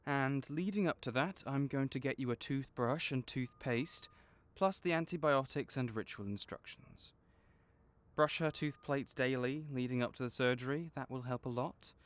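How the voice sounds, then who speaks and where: A man playing a kind, patient veterinarian for magical creatures in a comforting audio roleplay, explaining things gently and encouragingly. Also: mid-range voice, normal speed, lightly acted. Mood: practical, helpful. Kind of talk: comforting audio roleplay, one-sided conversation.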